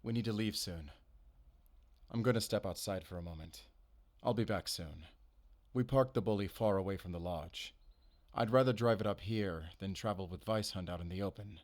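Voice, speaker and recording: A man performs a guarded, determined werewolf hunter in a cinematic audio drama. His low voice is terse and businesslike, giving short, clipped statements and orders. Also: moderate pace, darkish tone, close to an everyday voice. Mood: resolute. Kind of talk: cinematic audio roleplay acting.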